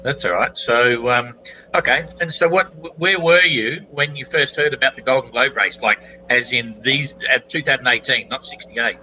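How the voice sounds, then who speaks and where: Bright-voiced male interviewer. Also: mid-range voice, normal speed, slight background noise, poor audio. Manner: loose, rambling questions with restarts. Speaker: podcast host. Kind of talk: casual interview questions.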